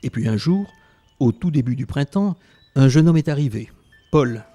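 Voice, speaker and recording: A man's voice: medium pitch, expressive, moderate pace, warm tone, close to an everyday voice. A man playing a plain-spoken survivor who narrates his wartime youth reflectively in an audio drama. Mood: reflective, wistful. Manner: reflective storytelling. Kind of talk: scripted first-person narration in audio drama.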